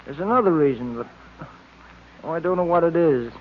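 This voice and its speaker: An actor playing a detective's anxious, befuddled sidekick, hesitant and earnest, in an old radio drama. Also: male voice, a little high, expressive, fairly quick, close to an everyday voice. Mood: troubled, urgent. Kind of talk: 1940s radio drama acting. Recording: tinny, noisy old radio recording.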